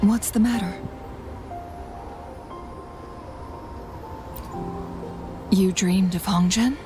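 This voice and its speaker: An actress playing a composed, earnest guide in a fantasy game, speaking in a measured, formal and serious way. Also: female voice, medium pitch, natural voice. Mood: concerned, calm. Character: composed, earnest female guide on an urgent quest. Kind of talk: scripted fantasy game dialogue. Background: music.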